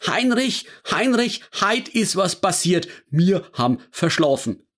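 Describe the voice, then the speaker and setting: A woman's voice: fairly low pitch, big pitch swings, slow pace, pitched above the performer's own voice, exaggerated voice. A man voicing a flustered old grandmother. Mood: alarmed.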